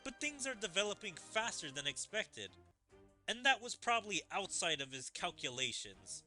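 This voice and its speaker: Scheming game empress read by a man, condescending and musing, sharp tone, faint music. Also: high-pitched, very animated, moderate pace, heavily acted.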